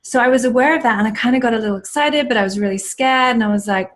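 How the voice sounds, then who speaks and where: Woman, interview guest, earnest, reflective, spiritual, halting with fillers, video call. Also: on the high side. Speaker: guest, yoga teacher and school founder. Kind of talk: casual interview storytelling.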